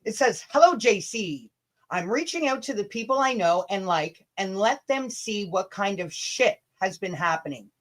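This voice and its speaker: Blunt, sweary woman livestreamer. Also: mid-range voice. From Canada. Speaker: livestream host. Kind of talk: livestream chat mixed with dramatic reading of emails.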